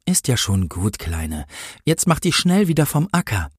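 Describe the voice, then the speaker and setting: A man's voice: medium pitch, very animated, normal speed, slightly rough, no room sound, clean studio sound. A man voicing a pompous, easily indignant Christmas angel in a comic story, speaking in a solemn, preachy, formal way. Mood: pitying, lofty.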